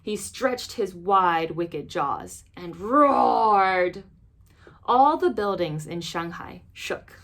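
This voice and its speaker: A woman reading a children's storybook aloud as an expressive narrator. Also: on the low side, extremely slow. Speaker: storybook reader.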